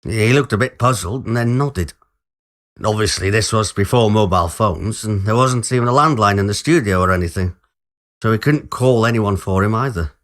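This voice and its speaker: A man's voice: lowish voice, moderate pace, a bit bright. A man reading a first-person story in an informal, conversational way, as if recalling it to a friend. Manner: informal, colloquial British phrasing. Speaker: story reader playing a musician narrator.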